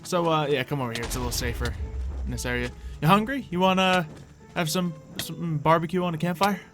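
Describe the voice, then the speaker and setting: A man's voice: a little high, brisk, fairly bright tone. A man doing casual, improvised Minecraft roleplay commentary in an offhand, playful way. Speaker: gaming video creator. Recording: music under the voice. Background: game music.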